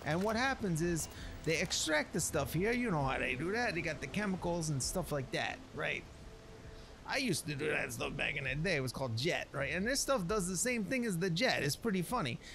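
A man's voice: fairly high pitch, expressive, fairly quick, gravelly, fairly bright tone, a little hiss or hum. A man doing rambling in-character game commentary in a colloquial, streetwise wiseguy manner. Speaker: gameplay narrator playing a storyteller character.

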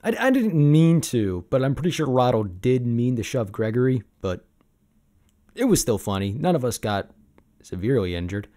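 A man playing an easygoing, sentimental sabertooth boyfriend in an ASMR roleplay, reminiscing in a casual, gently hesitant way. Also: mid-range voice, sing-song, normal speed, lightly acted. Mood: amused, reminiscing.

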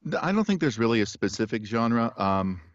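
A middle-aged man, an audiobook narrator, chatting as a guest in an interview with halting restarts and a relaxed chuckle. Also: medium pitch, no room sound. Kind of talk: casual interview chat. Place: home studio with poster behind. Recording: dry, slightly dull video call audio.

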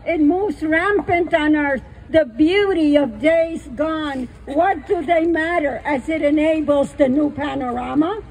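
A woman's voice: high-pitched, a little slow, few pauses, a little mellow, poor audio. An older woman reading her own poems with friendly, plain-spoken asides on a small outdoor stage, using a stage microphone on a stand. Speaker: poet. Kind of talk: poetry reading with chatty asides. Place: small outdoor stage under trees at a festival.

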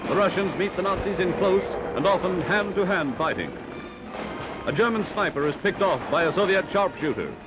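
An American man narrates a wartime-era newsreel from the 1940s, pitched a little high and stressing his words dramatically. The sound is a dull old film soundtrack, with loud music running behind him. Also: expressive, moderate pace. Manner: dramatic, emphatic delivery.

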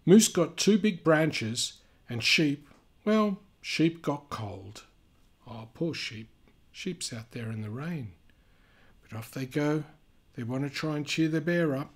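Male storyteller, unhurried, husky, gentle, expressive, children's read-aloud, chatty asides. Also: on the high side. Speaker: children's book reader.

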